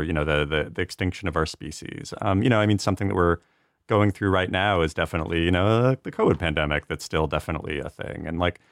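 A man with a low voice chats as a podcast guest. His phrasing is loose and looping, and the sound is dry and close. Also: a bit bright, no room sound. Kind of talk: casual conversational chat.

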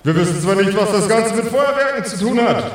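A man playing a grand, showy announcer of a magic contest in an improvised fantasy audio play, with theatrical, drawn-out delivery. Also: high-pitched, lightly acted. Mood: grand, ceremonial. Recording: very echoey, distant from mic.

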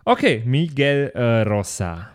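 A man running a tabletop role-play podcast as game master, teasing his players in quick banter. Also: mid-range voice, very animated.

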